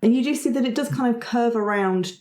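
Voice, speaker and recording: A woman's voice: on the high side, brisk, darkish tone. A woman narrating and refereeing a tabletop game as game master. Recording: roomy, echoey recording.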